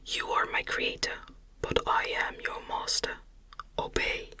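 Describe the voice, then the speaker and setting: A narrator voicing a wretched, vengeful male monster from a gothic story in a smothered, breathy ASMR whisper, with menacing, grandiose, archaic speech. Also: a little slow, natural voice. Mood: commanding, threatening.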